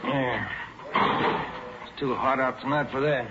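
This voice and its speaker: An actor playing an easygoing, practical police detective partner in brisk 1940s radio drama dialogue. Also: male voice, mid-range voice, lightly acted. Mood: businesslike. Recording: echoey, noisy, muffled 1940s radio recording.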